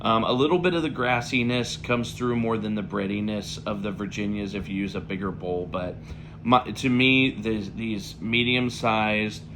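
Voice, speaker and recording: A man with a slightly raspy voice, still getting over an illness, talking through a pipe tobacco review in a relaxed, rambling way with lots of fillers. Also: on the low side, normal speed, noisy background. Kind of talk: casual spoken review.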